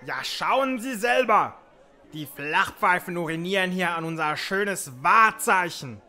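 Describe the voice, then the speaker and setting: Male actor as officious henchman knight, scolding, fairly high pitch, piercing tone, comic audio drama. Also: animated, measured pace, pushed and bright, heavily acted. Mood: snide. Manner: snide, prim, scolding. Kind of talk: comic audio play acting.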